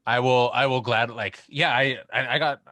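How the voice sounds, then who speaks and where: Slangy man, mid-pitched and closely recorded. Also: fairly even intonation, normal speed, bright, forceful tone, no echo. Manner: informal, slangy. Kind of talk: casual podcast chat.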